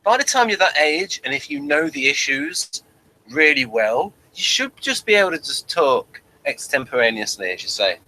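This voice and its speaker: A man co-hosts a casual, unscripted review podcast. His voice sits fairly high for a man and has a very bright, forward edge. He talks conversationally. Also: very animated, moderate pace, rough recording. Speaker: podcast co-host reviewing a TV show.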